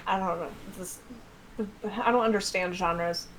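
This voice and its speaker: An American woman chatting casually as a guest author, telling stories in an animated, self-deprecating way with some swearing. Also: fairly low pitch, a little slow, slight background noise, low-quality recording. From United States. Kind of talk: casual livestream chat.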